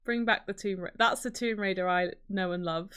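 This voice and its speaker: Female podcast co-host, medium pitch, casual, enthusiastic and opinionated. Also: fairly quick, fairly bright tone, smooth. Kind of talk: casual film and gaming chat.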